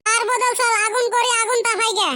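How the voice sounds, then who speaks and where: A man voicing a teasing, practical young village lad in a comic cartoon, with a Lower Assam rural accent and a pitched-up effect. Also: little pitch movement, a little fast, sharp tone, slight background noise, heavily acted. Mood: matter-of-fact. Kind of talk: comic meme cartoon dialogue.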